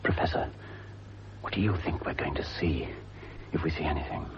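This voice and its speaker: An actor playing a curious, cultivated gentleman adventurer with a wry, understated manner in a 1950s British radio drama. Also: extremely low pitch, brisk. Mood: hushed, curious. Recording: muffled, noisy vintage radio recording.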